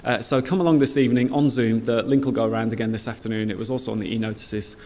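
A man in his thirties leading prayer and dismissing the congregation from a stand mic in a church hall, relaxed and informal. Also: medium pitch, fast talker. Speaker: church leader. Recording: long hall reverb, faint noise.

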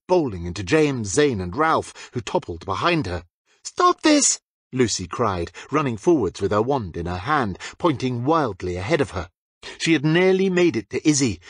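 A man narrates fiction in a dramatic audiobook style. His voice is husky and moves widely in pitch. The sound is close and dry, with no room on it. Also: dry recording.

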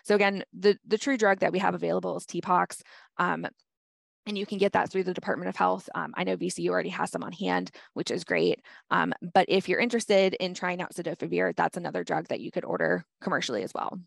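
A woman's voice: fairly low pitch, fast talker, gravelly, no room sound, clean studio sound. A woman presenting a medical grand rounds lecture on treatment guidance, speaking practically with frequent "um" fillers. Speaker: health department presenter.

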